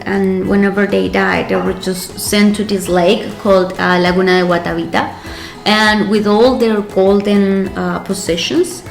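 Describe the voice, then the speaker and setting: A woman's voice: mid-range voice, vocal fry. Woman from Colombia telling legends. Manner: lively storyteller, pace shifting. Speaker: guest. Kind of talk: interview storytelling. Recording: roomy echo.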